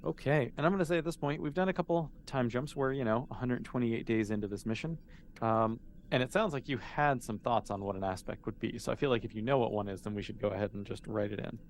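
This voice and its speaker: A man's voice: medium pitch, fast talker. Man running a tabletop game, noisy recording. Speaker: game master. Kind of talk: casual improvised narration of a tabletop role-playing game.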